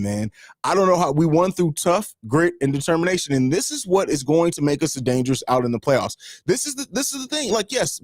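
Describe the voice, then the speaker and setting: A hoarse man with a raspy voice hosting a live fan stream, talking emphatically and repeating himself, with casual swearing. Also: fairly high pitch, darkish tone, no room sound, clean studio sound. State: hoarse from screaming. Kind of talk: excited sports fan stream chat.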